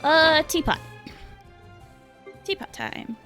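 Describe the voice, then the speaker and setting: A woman's voice: sits high, lively intonation, takes it slowly, a bit bright, faint music behind. A woman live-streaming a video game, chatting casually and playfully with little exclamations and sound words. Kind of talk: casual chat while playing. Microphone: streaming microphone.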